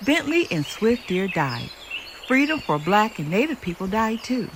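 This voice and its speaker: A woman's voice: medium pitch, very animated, unhurried, noisy background, voice pushed up. An older woman voicing a mighty, ancient river in a storybook, grumpy yet indulgent, with solemn, weighty delivery. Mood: solemn, wistful.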